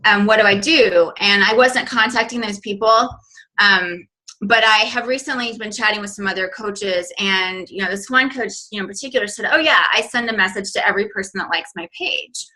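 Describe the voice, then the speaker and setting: A woman's voice: mid-range voice, brisk, bright, forceful tone, rough recording. A woman speaking as a guest coach on a group call, chatty and informal, often quoting little asides as she explains.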